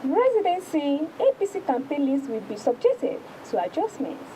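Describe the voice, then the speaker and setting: High-pitched Nigerian woman reading the news. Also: huge pitch swings, moderate pace, noisy background. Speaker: presenter reading newspaper review. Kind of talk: news reading and paper review.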